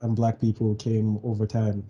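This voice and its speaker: A man's voice: on the low side, completely flat delivery, normal speed, warm tone, slightly rough, highs cut off. A young man from Nigeria co-hosting a podcast, talking bluntly and at length in casual discussion. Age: young adult. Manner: blunt, explains at length with restarts. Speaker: podcast co-host.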